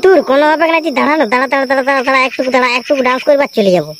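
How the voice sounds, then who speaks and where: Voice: sits high, animated, very fast, fairly bright tone, a little hiss or hum. An actor playing a bossy, teasing village club ringleader in a comic cartoon, pushy and mock-threatening, with a rural Purulia Bengali accent. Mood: playful, pleading.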